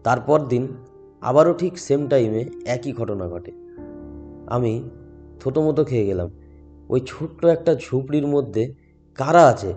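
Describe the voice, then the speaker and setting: Male first-person horror narrator, soft dark tone, music underneath. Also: mid-range voice, lively intonation, a little slow, pauses often. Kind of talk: scripted horror story narration. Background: background music.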